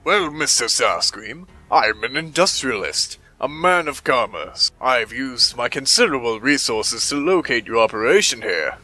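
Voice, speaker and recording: A man playing a smooth-talking, profit-minded industrialist, courteous and wordy, in a dramatic comic dub. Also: mid-range voice, lively intonation, normal speed, few pauses, pushed and bright. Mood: courteous, calculating.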